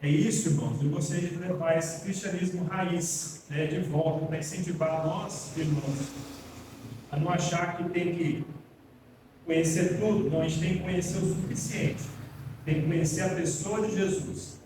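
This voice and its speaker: A man's voice: fairly high pitch, fairly even intonation, moderate pace, recorded from a distance, background noise. Preaching man in an echoey hall. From Brazil. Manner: earnest, plainspoken preaching, addresses listeners as brothers. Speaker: pastor. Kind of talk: sermon. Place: church hall.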